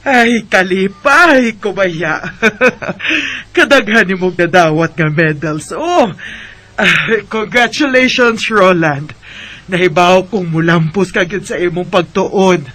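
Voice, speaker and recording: A man voicing a kind older woman in a radio drama who helped a family, speaking fondly with a husky voice and near tears. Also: high-pitched, lively intonation, takes it slowly, sharp tone, heavily acted.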